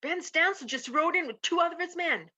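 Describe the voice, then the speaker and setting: A woman's voice: very high-pitched, a little fast, bright, forceful tone, dull audio. A woman playing a folksy, urgent Western deputy in a radio play. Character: Chester, excitable rustic Western deputy with folksy drawl.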